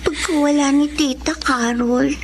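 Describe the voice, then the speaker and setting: A woman's voice: high-pitched, steady tone, unhurried, noisy background. A woman with a Filipino accent voicing a sweet, polite, curious little girl in a radio drama. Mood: curious, concerned. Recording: music under the voice.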